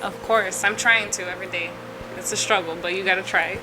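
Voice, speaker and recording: A young woman from Florida, a photographer answering interview questions in a relaxed, chatty way with lots of fillers. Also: mid-range voice, a little fast, low-quality recording. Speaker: photographer guest.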